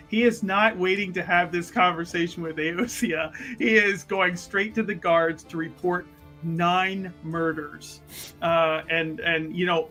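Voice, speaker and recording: A man playing a tabletop roleplaying game, talking casually, with an animated, emphatic manner. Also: sits high.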